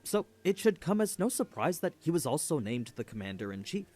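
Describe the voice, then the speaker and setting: A man's voice: a little high, very animated, fairly quick, a little mellow, a little hiss or hum. A man delivers scripted explanatory narration as the narrator of a lore video. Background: background music.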